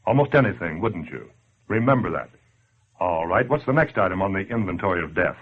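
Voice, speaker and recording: A man's voice: low-pitched, very animated, fairly quick, slight background noise. An American man acting as a brash, wisecracking 1940s radio narrator who badgers the listener in sardonic slang. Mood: grim reproach. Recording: tinny, band-limited old radio broadcast.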